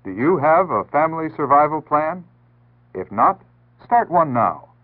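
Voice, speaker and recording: American male announcer, 1960s civil defense film style. Measured, instructive, animated intonation, narrow phone-like vintage sound. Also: fairly high pitch. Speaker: public service announcer. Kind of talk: 1960s civil defense PSA scripted narration. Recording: tinny band-limited 1960s broadcast audio.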